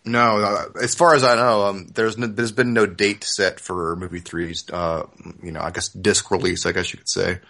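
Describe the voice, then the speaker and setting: A man's voice: low voice, little pitch movement, normal speed, hardly any pauses, pushed and bright. A man hosting a casual fan podcast, talking through news in a hedging, wry manner. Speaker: podcast host, fan forum operator.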